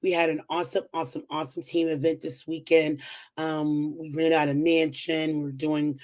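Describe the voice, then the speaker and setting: A woman hosting a live whiteboard lesson on finances, her voice rough and strained as it comes back after being lost. She talks in a relaxed, chatty way, pausing on "um"s and nudging viewers along with upbeat encouragement. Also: deep voice. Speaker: financial educator hosting a weekly livestream. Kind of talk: casual live-stream teaching at a whiteboard.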